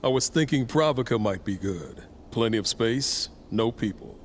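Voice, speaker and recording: An actor as an easygoing warrior companion, mid-pitched. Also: male voice, lively intonation, moderate pace, a little hiss or hum, lightly acted. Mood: casual, practical. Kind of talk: video game cutscene acting.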